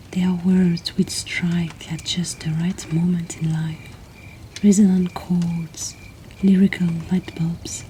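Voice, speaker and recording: A woman whispers in a breathy, soothing and intimate way, hosting and reading poetry in an ASMR style. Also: fairly low pitch, mellow, slight background noise. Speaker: host of a poetry reading series.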